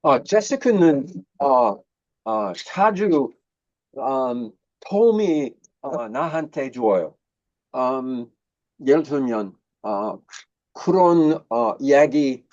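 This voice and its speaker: A man's voice: medium pitch, darkish tone. Hesitant man, dull lo-fi sound. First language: English. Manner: hesitant, thoughtful, many fillers when speaking Korean. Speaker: host. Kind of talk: casual conversation.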